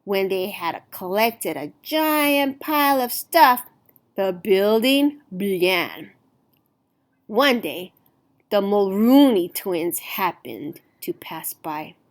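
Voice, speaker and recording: A woman reading a picture book aloud to children in an expressive, deliberate storytelling manner. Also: medium pitch. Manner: deliberate, animated storytelling with emphasized words.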